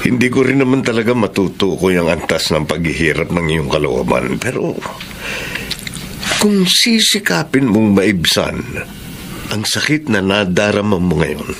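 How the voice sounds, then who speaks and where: A man's voice: low-pitched, big pitch swings, a little slow, creaky, a bit bright, exaggerated voice. A man playing an earnest, understanding friend giving counsel in a drama, with background noise. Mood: earnest advising. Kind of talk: expressive dramatic acting.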